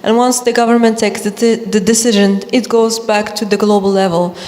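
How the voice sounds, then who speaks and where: A woman's voice: mid-range voice, steady tone, moderate pace, a little mellow. A young woman from Morocco whose first language is Arabic, speaking earnestly on a panel and often restarting her words. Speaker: panelist, youth climate ambassador. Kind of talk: panel discussion. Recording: long hall reverb.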